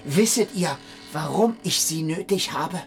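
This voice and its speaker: An actor playing an odd, needy man who confesses in a halting, politely evasive way in a radio play. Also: a little high, vocal fry, soft background music. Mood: meek, sly. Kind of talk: German radio play acting.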